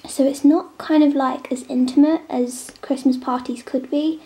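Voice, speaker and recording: A young woman with a head cold talks casually as she draws at a table at home, now and then sinking into a near-whisper. Her voice sits high but stays level and mellow, with a gravelly edge of vocal fry. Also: steady tone, normal speed. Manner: chatty, drifting between soft near-whispers and normal talk. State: snotty with a cold. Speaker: vlogger drawing on a tablet. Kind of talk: casual chat while drawing. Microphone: camera on the table.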